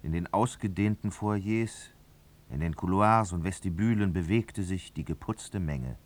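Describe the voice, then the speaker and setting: A narrator of a literary radio drama speaks in a low register. He tells a satirical society novel in composed prose. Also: measured pace. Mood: measured narration.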